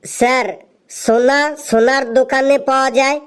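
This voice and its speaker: A woman's voice: high voice, level tone, moderate pace, smooth, natural voice. A woman voicing a cheeky, dim-witted schoolboy in a comedy cartoon with an earnest delivery. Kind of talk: exaggerated comic cartoon dubbing.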